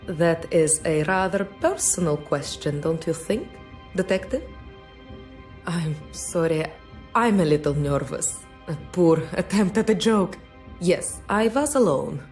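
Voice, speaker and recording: A woman plays a coy, well-bred suspect in a mystery game with a fairly low voice. She is polite and teasing, slightly nervous, over quiet music. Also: measured pace, lightly acted. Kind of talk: video game voice acting.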